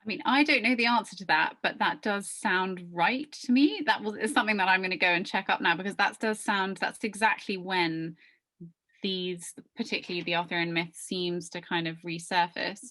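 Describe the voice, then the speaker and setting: A woman's voice: medium pitch, fairly even intonation, normal speed, pushed and bright. A woman speaking on an academic panel, thinking aloud tentatively with fillers.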